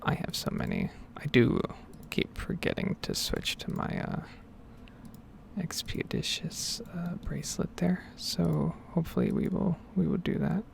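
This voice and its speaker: A man giving hesitant, rambling game commentary in a soft-spoken, whispered ASMR style. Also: fairly high pitch, huge pitch swings, a little slow, gravelly, slight background noise. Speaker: gaming video host.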